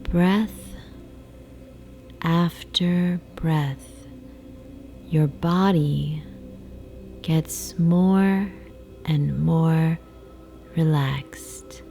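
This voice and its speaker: A woman guiding a sleep meditation in a soft, soothing, hypnotic way, with breathy phrase endings and long pauses. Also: low voice, soft background music. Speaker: meditation guide. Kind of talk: guided sleep meditation, slow hypnotic narration. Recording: faint background noise, ocean waves. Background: ocean wave sounds.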